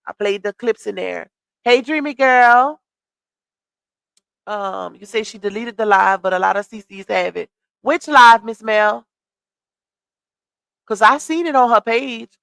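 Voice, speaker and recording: A woman's voice: on the high side, vocal fry, sharp tone, smooth, no echo. Chatty woman hosting a gossip live stream. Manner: chatty, reads out comments and greets viewers. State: losing her voice.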